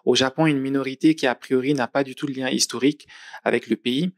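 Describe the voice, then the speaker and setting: A man, a psychologist giving a thoughtful interview answer, measured and often pausing on fillers. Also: medium pitch, fairly even intonation, dry recording, studio quality. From Mali.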